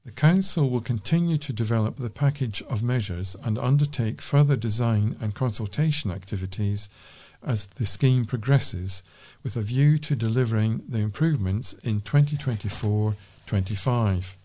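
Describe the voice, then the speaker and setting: A man's voice: medium pitch, normal speed, mellow. A British man reading local news aloud as a volunteer newsreader.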